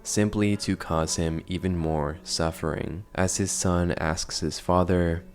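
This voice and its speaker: A man's voice: low voice, steady tone, a little slow, heavy background noise. A man narrating a casual, analytical commentary on horror videos.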